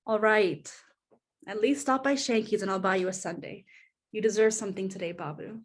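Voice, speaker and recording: A woman's voice: mid-range voice, normal speed, close to an everyday voice. A woman playing a caring, persistent, teasing sister in a scripted drama table read, casual and coaxing. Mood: playful, coaxing.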